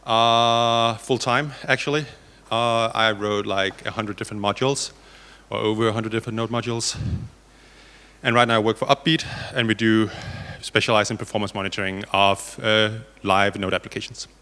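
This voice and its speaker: Low-voiced man in a reverberant hall. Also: steady tone, normal speed, bright, forceful tone, clear, resonant tone. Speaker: panelist, software developer. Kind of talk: informal panel discussion. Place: indoor conference hall.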